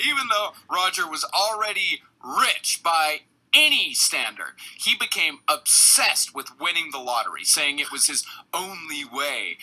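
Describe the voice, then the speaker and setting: A man's voice: on the high side, sharp tone, breathy edge, low-quality recording. A man hosting a podcast, telling true-crime stories casually and comedically, with emphatic phrasing. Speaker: podcast host.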